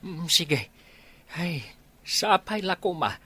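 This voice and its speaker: A man playing a gentle, anxious old grandfather in a Filipino-accented radio drama. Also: on the high side, sing-song, slow, very noisy. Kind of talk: Philippine radio drama acting.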